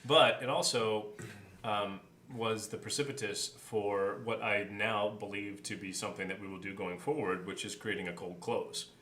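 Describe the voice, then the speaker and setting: Bright-voiced low-pitched man, echoey room. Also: steady tone, normal speed. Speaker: podcast co-host and editor. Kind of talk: casual behind-the-scenes chat. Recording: roomy echo, clear background noise.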